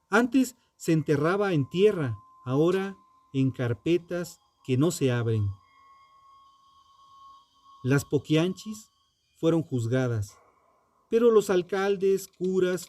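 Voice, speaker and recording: A man narrating a scripted true-crime documentary in a solemn, dramatic manner, in short measured phrases with pauses. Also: on the high side, mellow.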